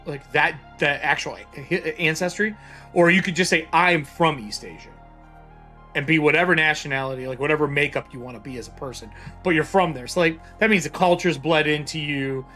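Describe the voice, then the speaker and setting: A man acting as game master in a casual tabletop session, excitable and loose, swearing freely as he explains the setting. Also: fairly high pitch, a little fast, soft background music.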